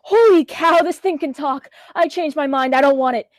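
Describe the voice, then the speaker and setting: Cheeky Gen Z kid played by a teenage girl, slangy and flippant, high-pitched, dry recording. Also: moderate pace, dry recording, natural voice. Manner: flippant, slangy, theatrical gasps. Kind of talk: school radio play acting.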